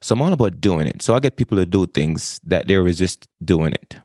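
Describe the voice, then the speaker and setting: A man, talking as an interview guest in an energetic way, often repeating and restarting phrases. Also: low-pitched, fairly quick, husky, dry recording, studio quality. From Jamaica. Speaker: guest, wisdom coach. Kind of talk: casual interview talk.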